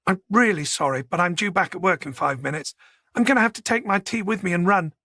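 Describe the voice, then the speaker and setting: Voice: mid-range voice, lively intonation, a little fast, bright, forceful tone, no echo, studio quality. An audiobook narrator voicing a genteel, chatty woman with an old-fashioned, playful way of speaking. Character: genteel, chatty woman, a box office manager.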